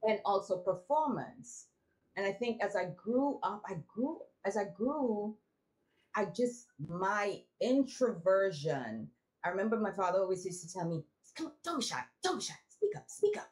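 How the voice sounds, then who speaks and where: A woman's voice: mid-range voice, big pitch swings, moderate pace, a little mellow, low-quality recording. Reflective woman telling stories. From Trinidad. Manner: reflective storytelling with frequent laughs and restarts. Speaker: guest. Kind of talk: casual interview conversation.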